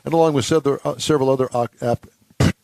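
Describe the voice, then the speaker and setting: A man's voice: mid-range voice, fairly even intonation, quick pace, creaky, a little mellow. A man hosting a radio talk show from a studio, folksy and joking, sometimes tripping over long introductions.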